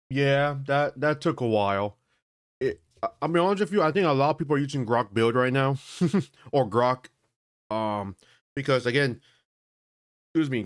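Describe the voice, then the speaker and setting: A man hosting a tech video, chatting casually and thinking aloud as he tests a game, often halting and correcting himself. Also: mid-range voice, clear, resonant tone. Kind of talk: casual commentary while testing a game.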